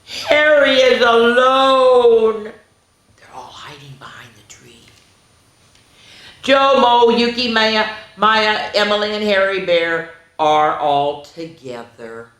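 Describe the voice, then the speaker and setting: A woman's voice: mid-range voice, lively intonation, slow, fairly bright tone. A woman hosting children's storytime, reading aloud expressively in an exaggerated sing-song way with chatty asides to young listeners. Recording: echoey room.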